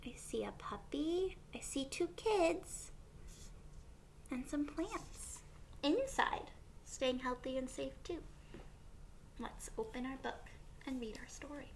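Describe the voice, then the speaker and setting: A woman reading a picture book aloud to young children as a teacher would, expressively, with pauses and questions for her listeners. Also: high-pitched, slight background noise.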